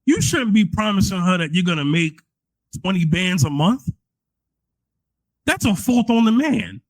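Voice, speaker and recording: Higher-voiced man arguing a point conversationally. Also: big pitch swings, unhurried, dry recording, low-quality recording. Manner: emphatic, repeats phrases to build his point. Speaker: podcast co-host. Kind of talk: conversational debate and advice.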